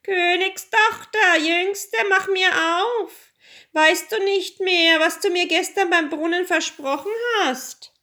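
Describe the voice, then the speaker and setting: Storybook frog, acted by a woman: male creature, high-pitched, demanding, pleading. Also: animated, unhurried, pushed and bright, heavily acted. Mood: calling out. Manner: insistent, demanding. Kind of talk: fairy tale storytelling.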